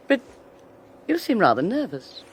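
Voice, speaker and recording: A woman's voice: medium pitch, slight background noise. An actress playing a domineering wealthy wife who teases and controls her husband, silky and condescending with small laughs, in a radio drama. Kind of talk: 1980s radio drama acting.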